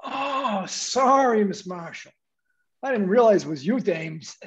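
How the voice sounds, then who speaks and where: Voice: medium pitch, expressive, unhurried. Speakeasy doorman actor's role, 1920s audio drama: tough slang, big swinging intonation, slightly dull recording. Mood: flustered, apologetic. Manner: gruff slangy threats, apologetic stammering. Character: gruff speakeasy doorman with tough-guy slang, flustered underneath.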